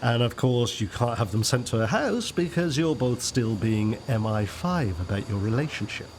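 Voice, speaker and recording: An actor playing an older, protective father who gently nags, in a naturalistic radio soap. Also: medium pitch, lively intonation, normal speed, slight background noise, natural voice. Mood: wry, concerned.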